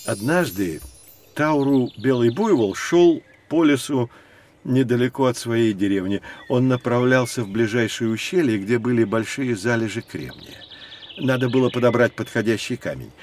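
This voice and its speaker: A man playing a knowledgeable, patient teacher who explains things to children in a measured, expressive storytelling way. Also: medium pitch, slight background noise, close to an everyday voice. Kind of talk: scripted educational storytelling for children.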